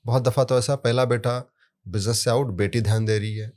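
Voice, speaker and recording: A man explaining sound therapy in a relaxed podcast conversation, telling stories from his work and leaning hard on key words. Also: medium pitch, brisk. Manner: storytelling with anecdotes, emphatic rises on key words.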